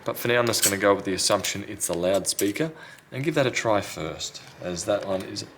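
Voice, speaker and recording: A man's voice: on the low side, fairly quick, fairly bright tone, a little hiss or hum. A man talking casually and thinking aloud as he presents a hands-on repair tutorial. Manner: unscripted, thinking aloud while working. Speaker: repair technician, video host. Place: indoors at a repair workbench.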